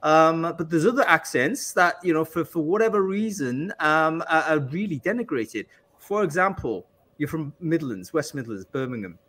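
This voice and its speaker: A man's voice: a little high, animated, normal speed, fairly bright tone. Hesitant English man hosting a panel. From England. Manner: hesitant, many restarts and fillers. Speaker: host. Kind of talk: panel discussion.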